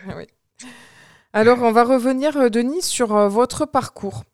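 A woman hosts a radio interview in a friendly, easygoing way, with frequent pauses and fillers. Her voice is fairly high and smooth, and the recording is dry and close. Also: no room sound. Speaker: radio host.

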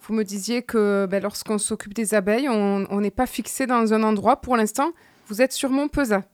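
A woman's voice: medium pitch, fairly even intonation, moderate pace, no echo, studio quality. A woman hosting a local radio interview show, asking questions in a friendly, conversational way. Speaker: radio host.

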